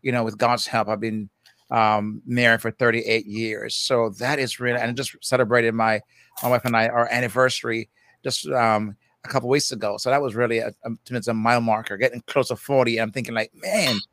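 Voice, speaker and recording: A man's voice: mid-range voice, little pitch movement, fairly quick, fairly bright tone. An older man with a Jamaican accent, a guest therapist talking in a chatty, easygoing way. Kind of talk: casual interview talk.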